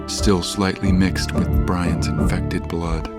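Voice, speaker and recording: Casting: male narrator for horror audio drama, deep register, scripted delivery over prominent score. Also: flat delivery, takes it slowly, loud music behind the voice.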